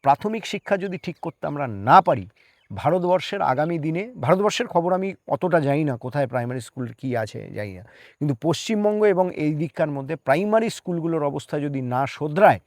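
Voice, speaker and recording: A man's voice: fairly high pitch, animated, fairly quick, darkish tone, dry recording, studio quality. A man speaking earnestly as an interview guest, explaining his opinions emphatically with rhetorical questions.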